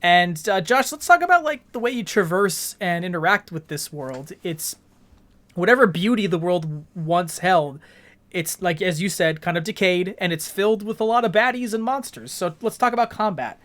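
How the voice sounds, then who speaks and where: A man's voice: sits high, animated, moderate pace, fairly bright tone. A man hosting a podcast, explaining a video game in a casual, articulate way and steering the discussion. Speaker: podcast host.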